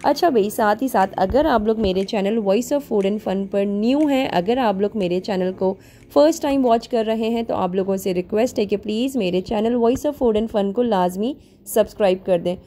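A woman's voice: normal speed, mellow. Chatty woman touring a supermarket. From Pakistan. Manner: chatty, upbeat sales pitch. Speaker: vlogger. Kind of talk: grocery store walkthrough narration.

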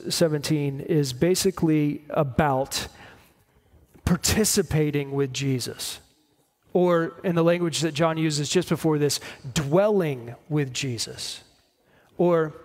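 A man in his forties preaching a sermon, explaining in measured short phrases with pauses. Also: a little high. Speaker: pastor preaching. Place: church stage at a lectern, indoors.

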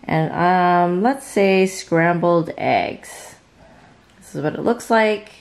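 A woman hosting a cooking channel, casually demonstrating a gadget in her home kitchen, chatty and relaxed with small fillers. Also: lowish voice, takes it slowly. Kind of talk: casual product demo.

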